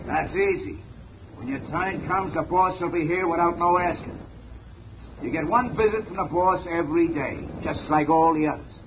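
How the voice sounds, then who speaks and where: An actor playing a hulking, thick-headed male asylum orderly who growls threats in rough street talk, his voice pitched a bit high for such a big man. The old radio recording sounds muffled and carries a steady hiss. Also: moderate pace, lightly acted. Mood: surly. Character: gruff muscle-bound male asylum nurse. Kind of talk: 1940s radio acting.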